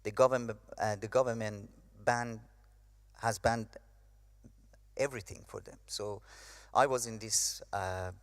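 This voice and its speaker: A man's voice: lowish voice, fairly even intonation, a little slow, no echo, clean studio sound. A young man from Iran giving a hesitant personal testimony, with many fillers. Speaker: speaker sharing his story.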